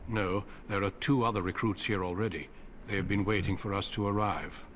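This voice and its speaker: A man voicing a solemn, secretive warrior commander in a fantasy video game. He speaks in a measured, grave way with a low voice. A faint hiss sits behind him. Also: steady tone, natural voice. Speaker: veteran Grey Warden commander.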